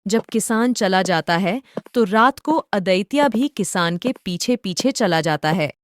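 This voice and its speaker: A woman narrating a cartoon story in a calm, plain storytelling manner. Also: mid-range voice, unhurried, slight background noise.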